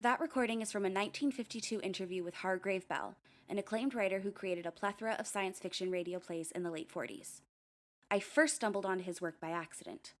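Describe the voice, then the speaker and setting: A young woman playing a narrator who recounts eerie experiences in a measured, confiding way, in an audio drama. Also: mid-range voice, natural voice. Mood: confiding, serious.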